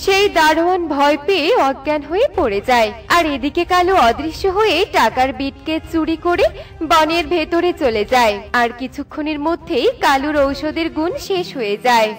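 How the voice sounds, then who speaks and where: A woman's voice: sits high, expressive, moderate pace, soft background music. A woman narrating a children's fairy tale in a scripted storytelling style.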